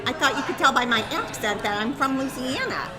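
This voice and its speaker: A woman's voice: high-pitched, animated, a little fast, music in the background, crowd noise. A woman voicing a chatty, scatterbrained woman makeup artist to the stars, rambling and giggly. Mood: breezy. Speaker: comic guest. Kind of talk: improvised comedy talk show bit.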